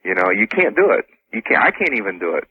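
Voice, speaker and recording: A man's voice: fairly low pitch, sing-song, quick pace, a little hiss or hum. A man talking casually as a radio guest, rephrasing himself as he goes. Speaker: interview guest. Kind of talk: casual radio interview talk. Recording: roomy, phone-like radio line.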